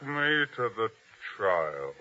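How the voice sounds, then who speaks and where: A man's voice: lowish voice, big pitch swings, very slow, bright, forceful tone, full character voice. A man playing a hard, ambitious, commanding soldier in a 1950s radio drama, curt and sardonic. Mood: cold, commanding. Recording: dull, noisy vintage radio drama recording.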